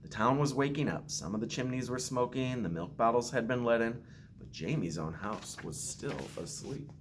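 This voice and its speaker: A man who is a school principal reads a picture book aloud to children with expressive, simple storytelling phrasing. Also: medium pitch, moderate pace, slight background noise. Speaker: school principal reading to pupils.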